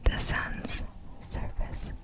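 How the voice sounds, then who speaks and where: A woman whispering softly in an ASMR style, reading out facts in short, measured, breathy phrases. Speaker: ASMR video creator.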